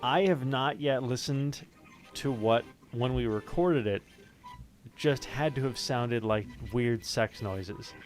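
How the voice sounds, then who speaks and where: Mid-pitched man chatting, music behind him. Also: slow, rough recording. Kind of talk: casual chat.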